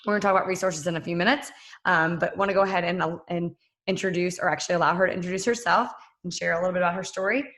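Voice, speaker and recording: A woman hosting an online session, briskly introducing the next speaker. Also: on the low side, fairly even intonation, fairly bright tone. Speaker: host. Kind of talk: webinar hosting. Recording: echoey room.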